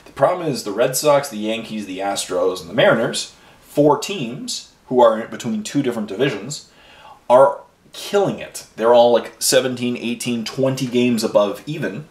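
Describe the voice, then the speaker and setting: A man's voice: medium pitch, animated, normal speed, darkish tone. A man chatting casually as he paints miniatures for a hobby video, rambling from one thing to the next with plenty of fillers. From Ireland and Canada. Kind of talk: casual chat while painting. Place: home hobby room. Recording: roomy echo, voice a bit far from mic, faint noise.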